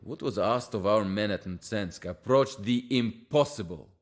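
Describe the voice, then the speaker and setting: A man's voice: on the low side, unhurried, a little hiss or hum, natural voice. An actor playing a bitter male Soviet lieutenant who questions his superior about the soldiers he lost, in a war game cutscene.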